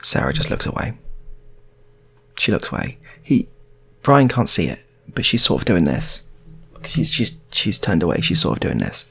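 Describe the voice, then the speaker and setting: A man's voice: lowish voice, big pitch swings, normal speed, vocal fry, breathy edge. A man telling an improvised story in a casual, rambling way, often repeating his phrases. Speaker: storyteller, channel host.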